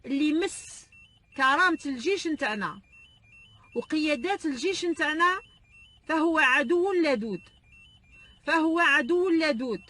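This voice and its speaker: Fast, high, emphatic Algerian woman. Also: expressive, bright, forceful tone, slight background noise. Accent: Algerian Arabic. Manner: emphatic, hammering repetition. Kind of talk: impassioned address to the public.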